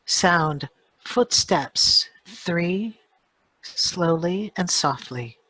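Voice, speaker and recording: A woman's voice: on the low side, animated, very slow, a bit bright, slightly rough, no echo, low-quality recording. A woman reading out sound-effect cues from a script in short, clipped announcements. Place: home, live video call performance.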